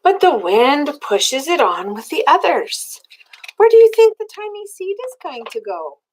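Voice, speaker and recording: A woman's voice: high voice, measured pace, slight background noise, rough recording. An older woman reading a children's picture book aloud as a grandmother, expressively, with questions in tones meant for a small child. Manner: expressive, asking the young listener simple questions in child-directed tones. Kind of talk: children's storybook read-aloud.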